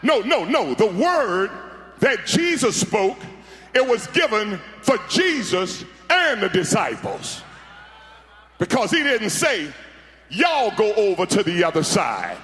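A man's voice: very high-pitched, fairly bright tone. A middle-aged man, preaching on a large arena stage into a handheld mic, fiery and full of repeated words and calls to the crowd. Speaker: pastor. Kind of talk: sermon. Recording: echoey arena sound.

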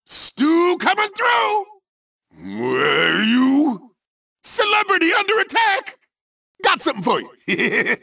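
An actor voicing a brash, boastful male chicken kart racer in a video game, with a cartoonish, squawky, shouty delivery. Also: extremely high pitch, huge pitch swings, takes it slowly, gravelly, recorded from a distance, exaggerated voice. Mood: cocky. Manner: cocky, boastful, wisecracking. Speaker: game character.

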